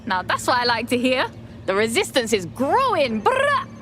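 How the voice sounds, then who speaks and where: Cocky young London hacker, lively pitch. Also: female voice, moderate pace, soft background music. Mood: curious, cocky. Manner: slangy, blunt, cocky. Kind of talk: video game voice acting.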